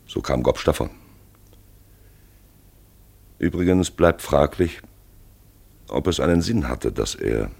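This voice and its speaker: An actor playing a probing, brooding narrator who guides listeners round an accident scene in a German radio play, confiding and insistent, with a gravelly, husky voice. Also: male voice, very deep voice, a little mellow, exaggerated voice. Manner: insistent, confiding, rhetorical asides.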